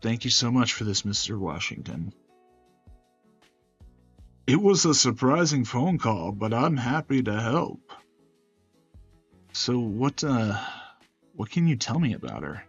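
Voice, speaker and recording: A man's voice: medium pitch, big pitch swings, measured pace, long pauses, husky, no room sound, muffled recording, soft background music, heavily acted. A man voicing a polite, reserved male prosecutor in a visual novel.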